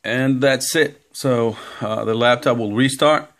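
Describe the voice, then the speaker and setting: A man giving an informal step-by-step computer tutorial in a halting way, reading out text as he goes. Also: mid-range voice, fairly bright tone. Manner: halting, instructional, reads out on-screen text. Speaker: tutorial presenter. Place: indoor room, filming a laptop screen.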